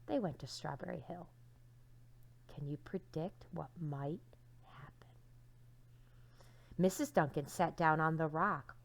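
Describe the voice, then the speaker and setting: A woman teacher reading a storybook aloud to young children, dramatic and expressive, with hushed phrases and questions to listeners. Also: low voice, takes it slowly, creaky, pauses often.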